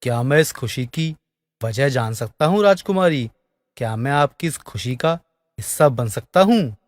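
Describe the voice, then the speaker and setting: A man's voice: mid-range voice, animated, normal speed, studio quality, natural voice. A dignified middle-aged fairy-tale king, played by a man, speaks with courtly affection as a doting father. The voice carries a long hall reverb. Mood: affectionate. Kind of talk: animated fairy-tale voice acting.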